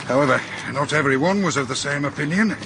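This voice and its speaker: An actor playing an opinionated male university lecturer, articulate, measured and slightly catty, in a radio drama. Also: a little high, background noise, natural voice. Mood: chatty, measured. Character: opinionated male Oxford academic from Yorkshire. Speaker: university lecturer being questioned. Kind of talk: naturalistic radio drama acting.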